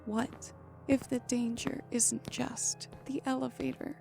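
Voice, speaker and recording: A woman's voice: on the high side, flat delivery, gravelly, over music, heavily acted. A woman playing a frightened, anxious woman trapped with a stranger in a horror audio drama, in dialogue and first-person narration. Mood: brooding dread. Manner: stammering and pleading when scared, hushed and measured when narrating.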